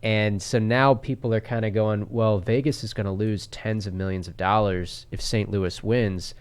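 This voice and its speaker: A man's voice: lowish voice, fairly even intonation, normal speed, clear, resonant tone. A man, a podcast guest, talking sports casually with a stuffed-up, nasal voice. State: congested, nasal.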